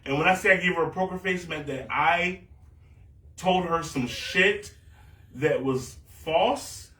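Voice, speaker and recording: Animated man in his thirties, echoey room. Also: on the high side, unhurried. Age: 30s. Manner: animated storytelling, repeats phrases when talked over. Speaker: podcast host. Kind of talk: casual group chat. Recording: echoey room, mic at a distance.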